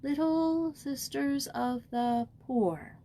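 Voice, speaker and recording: A woman plays a young girl in a storybook, pitched up high. She is eager, sweet and earnest, full of wide-eyed questions. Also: very slow, warm tone, a little hiss or hum, full character voice. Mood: curious, pleased.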